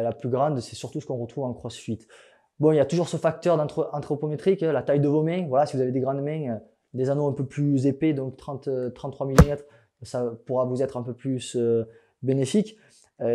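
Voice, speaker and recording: A man's voice: medium pitch, warm tone. A young man, a fitness coach, explaining gear in a casual vlog, chatty and joking, with many fillers.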